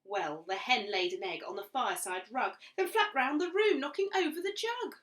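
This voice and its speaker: Woman storyteller, children's rhyming narration, bright voice, very lively intonation, unhurried, slightly distant microphone. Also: sits high. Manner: animated, deliberate, rhythmic verse delivery. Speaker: storyteller reading a children's book aloud.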